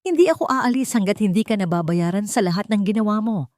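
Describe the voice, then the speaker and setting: A woman's voice: medium pitch, animated, quick pace, darkish tone, dry recording, pitched above the performer's own voice, lightly acted. A wronged Filipino wife from an audiobook melodrama, played by a woman narrator. She is composed, fearless and defiant, heard in a dry, close sound. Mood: angry challenge.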